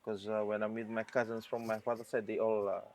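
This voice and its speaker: A man from Fiji with a Fijian English accent, a band member answering interview questions in a reflective, halting way. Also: fairly low pitch, level tone, a little hiss or hum.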